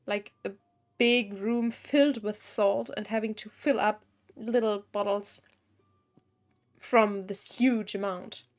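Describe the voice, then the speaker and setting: A woman game streamer giving casual live commentary, thinking aloud in a blunt, wry way. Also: fairly high pitch.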